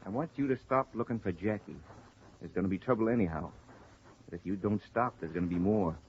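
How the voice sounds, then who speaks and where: Actor as a low, menacing radio gangster man. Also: a little fast, close to an everyday voice. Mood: coolly commanding. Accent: American. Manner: curt, threatening, commanding. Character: tough, menacing male gunman in charge. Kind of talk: 1950s radio crime acting. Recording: muffled, noisy old radio broadcast.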